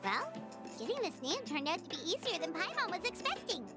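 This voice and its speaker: An actress voicing a tiny, excitable female companion creature from a game, with game music behind. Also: extremely high pitch, fairly quick. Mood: chirpy. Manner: excitable, bouncy, childlike.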